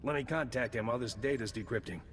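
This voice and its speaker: An actor playing a gruff male intelligence agent in a video game, curt and matter-of-fact. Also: mid-range voice, fast, a little hiss or hum, close to an everyday voice. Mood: brisk. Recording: game audio with long reverb.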